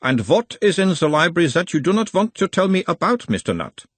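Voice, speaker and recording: A man's voice: on the high side, big pitch swings, a little fast, dry recording, voice pushed up. A man voicing an insistent analyst persona in an audiobook, formal and clinical. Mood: probing. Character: insistent, probing male analyst persona of a hypnotised creature.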